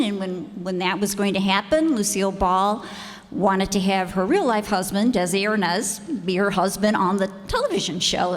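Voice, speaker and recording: A woman speaking informally as host, introducing a show. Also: mid-range voice. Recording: echoey hall.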